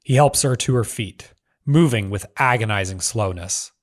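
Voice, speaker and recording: A man narrating screenplay stage directions in measured, clipped phrases. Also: on the low side.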